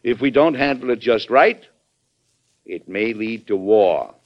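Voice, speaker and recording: An actor playing a firm, measured American president in a classic radio drama, speaking with formal, deliberate courtesy. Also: male voice, on the low side, animated. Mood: courteous, grave. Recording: dull old radio broadcast recording.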